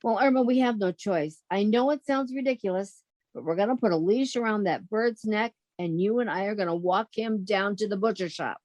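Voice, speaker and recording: A woman's voice: medium pitch, brisk, darkish tone, close to an everyday voice. A woman playing a sensible, wisecracking, exasperated young roommate in a 1940s-style radio comedy. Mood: firm, exasperated.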